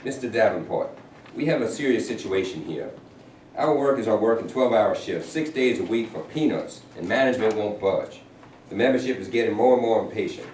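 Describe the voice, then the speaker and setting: Man reading a measured union president, lowish, echoey. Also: expressive, a little mellow, close to an everyday voice. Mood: reasonable, concerned. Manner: measured, plain-spoken. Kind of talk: staged reading of a play. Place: room at a staged reading. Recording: echoey room, distant mic, faint noise.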